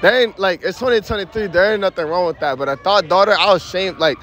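A man's voice: sits high, fast, fairly bright tone. A brash young man answering street-interview questions at night in blunt slang, into a phone held up to him. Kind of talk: casual street chat. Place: city street at night, outdoors.

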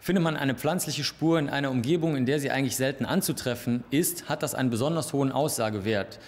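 A man's voice: mid-range voice, quick pace. A young man explains forensic evidence methodically in an interview. His mid-range voice carries a trailing reverb from the laboratory room. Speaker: forensic expert.

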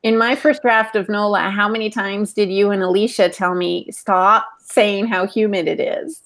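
A woman's voice: medium pitch, steady tone, normal speed, a bit bright. Playful woman chatting casually. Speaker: podcast co-host. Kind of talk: casual conversational chat. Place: home room, indoors. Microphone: earbuds on video call.

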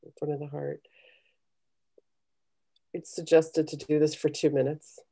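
A middle-aged woman with a low, mellow voice talks on a video call. She coaches conversationally and gives encouraging, step-by-step meditation instructions. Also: flat delivery, unhurried, creaky, breathy edge. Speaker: guest leading a guided meditation. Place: small home room, indoors. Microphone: computer video call.

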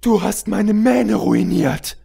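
A man's voice: sits high, sing-song, takes it slowly, creaky, mellow, slightly rough, pitched above the performer's own voice, exaggerated voice. A man voicing a vain, ladylike female unicorn in a storybook, dramatic and indignant. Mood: outraged. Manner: dramatic, outraged.

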